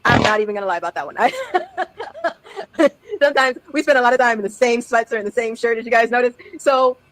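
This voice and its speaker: A woman's voice: fairly high pitch, fast talker, noisy background. A woman casually telling a story on a stream, chatty, laughing often and acting out the dialogue.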